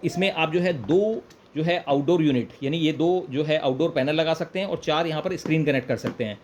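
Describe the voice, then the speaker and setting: Higher-pitched Indian man demonstrating a product. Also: a little fast. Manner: explanatory, repeats points with Hindi-English code-switching. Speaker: product reviewer and presenter. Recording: clear background noise, room ambience.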